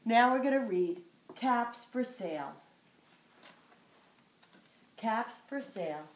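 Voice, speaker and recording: An older woman, a grandmother, reading a picture book aloud to her grandchild with playful, expressive asides. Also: fairly high pitch, slow, slight background noise. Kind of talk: storybook read-aloud.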